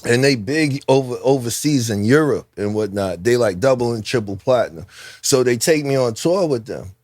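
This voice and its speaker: A middle-aged man from New Jersey with a New Jersey accent, telling stories as a podcast guest, animated and swearing freely. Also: mid-range voice, moderate pace, no room sound. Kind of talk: storytelling in casual chat.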